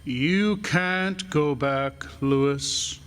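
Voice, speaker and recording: An actor playing the solemn, forgiving ghost of a murdered man in a radio play, measured and commanding. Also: a little high, a bit bright, clean tone. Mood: grave. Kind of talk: theatrical radio drama acting. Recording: cavernous long reverb.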